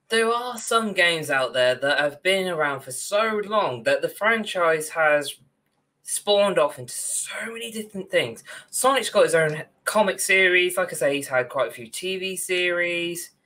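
A man's voice: sits high, animated, a little slow, sharp tone. A young man, co-hosting a podcast in casual discussion over a video call. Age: young adult. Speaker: podcast co-host. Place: indoor room on a video call.

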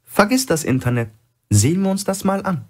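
A man voicing a brisk, decisive woman who gives terse orders in a thriller audiobook. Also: high-pitched, lively intonation, voice pushed up. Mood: brisk, commanding. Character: brisk, commanding woman planning a covert operation.

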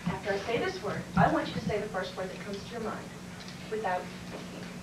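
A woman playing a brisk, businesslike medical examiner in an amateur stage play, asking clipped, deadpan questions. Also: mid-range voice, close to an everyday voice. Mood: firm, impatient. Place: classroom stage before small audience, far camera. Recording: distant, echoey, very noisy camcorder in classroom.

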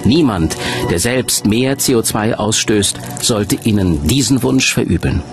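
Male documentary lecture voice-over, lowish and highly varied in pitch, explanatory, direct to the audience. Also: expressive, a little slow, over music. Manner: rhetorical, explanatory, addressing an audience.

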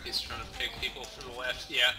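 A man gaming with friends in casual team voice chat, excitable and swearing freely as he makes quick callouts. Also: mid-range voice. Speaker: gamer. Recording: voice chat over loud game sound. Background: loud game music and effects.

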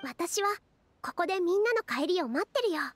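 A woman voicing a gentle, considerate young magical heroine in an anime-style game, careful and polite. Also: very high voice, animated, normal speed, dry recording. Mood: gentle, reassuring.

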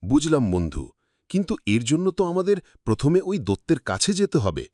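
A man playing an excitable village youth among friends in a cartoon, with exclamatory, bantering delivery. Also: fairly high pitch, normal speed, no echo, clean studio sound. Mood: bantering, anxious.

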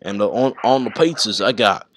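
A man's voice: fairly low pitch, lively intonation, moderate pace, a bit bright, a little hiss or hum. A man with a country American accent rambling casually and slangily on a prank phone call. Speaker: prank caller and video maker.

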